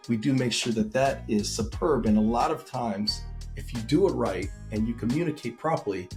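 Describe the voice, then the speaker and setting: Man giving relaxed hosting advice. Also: medium pitch. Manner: relaxed, conversational with frequent ums. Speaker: host. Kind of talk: casual advice talk.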